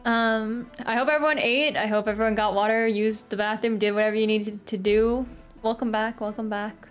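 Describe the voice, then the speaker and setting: A chatty, giggly American woman streaming live with a fairly high voice, greeting and joking with her chat. A quiet pop playlist runs faintly under her talk. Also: fairly even intonation, brisk. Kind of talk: casual live-stream chat and game reading. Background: faint pop music playlist.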